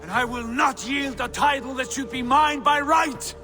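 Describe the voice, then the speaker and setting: An actor playing a hot-headed, defiant Viking prince in a game, with an indignant, forceful delivery. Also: male voice, extremely high pitch, moderate pace, over music. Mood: outraged. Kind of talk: dramatic scripted game acting.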